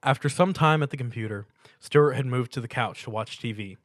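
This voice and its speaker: A man's voice: medium pitch, a little fast, no room sound, studio quality. A man narrating a scripted fan fiction story as a dramatic reading.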